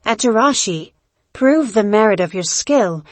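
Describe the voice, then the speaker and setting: Woman acting as a slow-talking, imperious pirate captain lady. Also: medium pitch, lively intonation, dry recording. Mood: commanding. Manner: measured, imperious, formal. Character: commanding female pirate captain, shrewd and coolly calculating. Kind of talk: audio drama acting.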